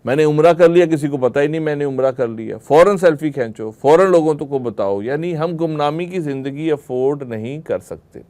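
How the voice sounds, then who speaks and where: A man's voice: a little high, fairly quick, few pauses, dark tone. A man giving a religious lecture to a group, explaining through rhetorical questions and everyday examples. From Pakistan.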